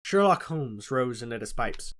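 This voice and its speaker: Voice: mid-range voice, animated, moderate pace, fairly bright tone. An audiobook reader giving scripted first-person narration of a story.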